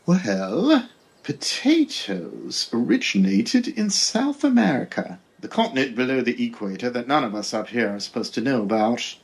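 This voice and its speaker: An actor playing a blunt, deadpan male Pilgrim governor in a comedy sketch. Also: medium pitch, normal speed. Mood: amused, matter-of-fact. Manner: matter-of-fact, darkly deadpan.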